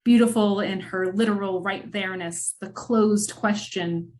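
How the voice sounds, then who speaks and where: A woman narrating a scripted film-noir radio drama in measured, dramatic storytelling with wry asides. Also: medium pitch, steady tone, a little mellow.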